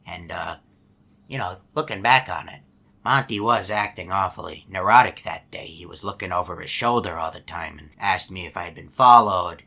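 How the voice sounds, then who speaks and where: A man's voice: low voice, normal speed, gravelly, bright, forceful tone, heavily acted. A man voicing a folksy, easygoing animatronic character in a video game, telling anecdotes in a mild, rambling, hesitant way. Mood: easygoing, musing.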